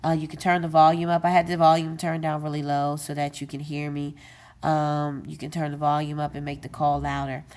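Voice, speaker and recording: A woman's voice: low-pitched, completely flat delivery, fairly quick, darkish tone. A woman giving an unscripted how-to demonstration of a gadget, talking casually with many fillers and self-corrections. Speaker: tech video presenter.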